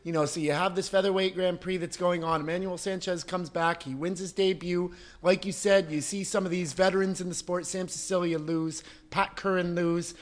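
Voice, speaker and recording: A man with a somewhat high voice hosts a casual podcast. He laughs easily and talks through long, winding questions to his guest. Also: steady tone, moderate pace, few pauses. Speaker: podcast host.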